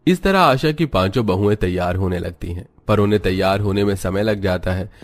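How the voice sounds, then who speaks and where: Low-pitched man narrating a story. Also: brisk.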